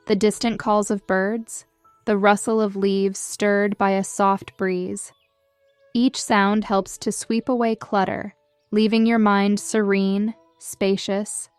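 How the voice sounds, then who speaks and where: A woman narrating a scripted guided meditation in a soothing, measured and gentle manner. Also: medium pitch, clear, resonant tone, no echo. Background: background music.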